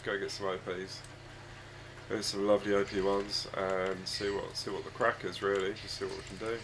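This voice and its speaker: A man with a husky, croaky voice, hoarse from a chest cold, chatting casually and rambling hesitantly while streaming a game from home on a desk microphone. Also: on the low side, little pitch movement, normal speed, noisy background. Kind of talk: casual live-stream chat. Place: home room with his dog.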